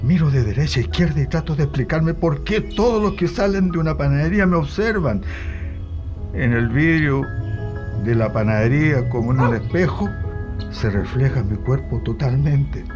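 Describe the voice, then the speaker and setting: A man's voice: mid-range voice, expressive, normal speed, over music, natural voice. A man playing a haunted Chilean survivor who recounts an ordeal in a dramatic radio narration. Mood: grave, distressed.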